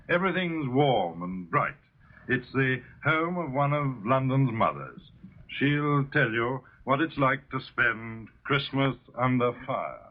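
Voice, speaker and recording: A man with a British accent presenting scripted narration in 1940s radio style. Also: medium pitch. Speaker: broadcast presenter. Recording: dull lo-fi 1940 radio recording.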